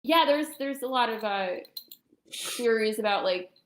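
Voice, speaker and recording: A woman's voice: on the high side, expressive, normal speed. A woman guest on a talk show, casually telling a personal story in informal, hesitant phrasing. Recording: echoey room sound.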